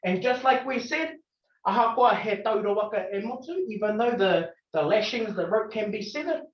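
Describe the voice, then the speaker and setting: A woman giving a warm, playful farewell speech at a meeting, quick to laugh. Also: mid-range voice, expressive. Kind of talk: speech at a meeting, mixing Māori and English. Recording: echoey, distant, muffled call audio.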